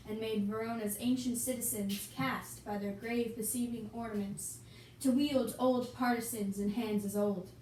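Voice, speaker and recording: Brief: stern puppet prince played by a woman, declamatory amateur Shakespeare, high voice, distant echoey recording. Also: darkish tone, close to an everyday voice. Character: stern, commanding angry Prince of Verona, plush puppet. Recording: echoey room, distant mic, background hiss.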